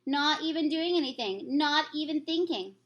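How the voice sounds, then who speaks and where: A woman's voice: high-pitched, animated, normal speed, a bit bright, voice pushed up. A woman voicing a friendly, bossy, centuries-old giant from a storybook, with folksy muddled grammar. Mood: insistent explaining. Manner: folksy, garbled grammar with invented words.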